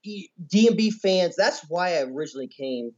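A man's voice: sits high, lively intonation, brisk, darkish tone. American man in his thirties, muffled audio. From US Midwest. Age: 30s. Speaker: podcast guest. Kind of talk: casual chat.

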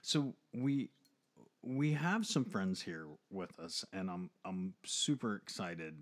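A man with a fairly low voice hosts a casual podcast. He rambles in a folksy way, piling on enthusiasm as he chats. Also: lively intonation, takes it slowly. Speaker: podcast host.

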